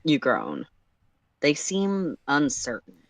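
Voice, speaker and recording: Livestream co-host narrating prose fiction, medium pitch, dry intimate recording. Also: huge pitch swings, slow pace, no echo. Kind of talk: read-aloud narration of fan fiction in second person.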